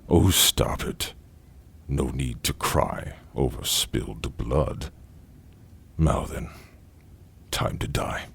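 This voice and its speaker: An actor playing a suave, sinister cannibal gentleman in a horror audio drama, gravelly, theatrical and mockingly polite, savouring each cruel word. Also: rumbling low, very slow, long pauses, exaggerated voice. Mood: taunting. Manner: theatrical, mock-polite, savouring his words.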